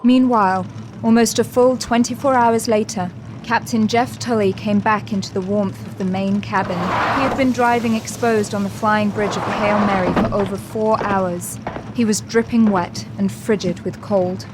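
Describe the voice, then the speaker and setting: A woman's voice: mid-range voice, steady tone, normal speed, very noisy, natural voice. A woman narrating an audio drama in a scripted, dramatic storytelling style. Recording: very loud vehicle ambience behind.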